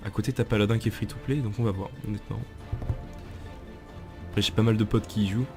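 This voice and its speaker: A man's voice: lowish voice, fast talker. Slangy man with a cold, over game music. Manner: slangy, excitable, talks to viewers. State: has a cold. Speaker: gamer. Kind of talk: casual gameplay commentary. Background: game music and sounds.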